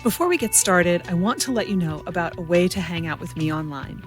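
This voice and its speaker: A woman's voice: fairly low pitch, animated, fairly quick, over music. A woman hosting a creativity podcast, speaking in a scripted, presenter style. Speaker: podcast host and creativity coach. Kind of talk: scripted podcast introduction and interview.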